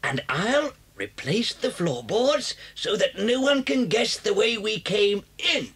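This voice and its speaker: A man's voice: high voice, sing-song, fairly bright tone, slightly rough, exaggerated voice. A man with a British accent playing a clever, cocky father fox in a children's audio drama, theatrical and persuasive. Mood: jovial, bossy. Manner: theatrical, sly, drawn-out phrasing.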